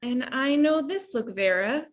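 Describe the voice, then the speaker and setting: A woman's voice: high voice, animated, measured pace. A woman playing a sarcastic, jaded grown daughter in a comedy table read.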